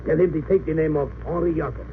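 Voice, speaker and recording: A man's voice: on the high side, steady tone, quick pace, close to an everyday voice. A man playing a stern, demanding German lieutenant in a 1930s radio drama, clipped and commanding. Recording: tinny, noisy old radio recording.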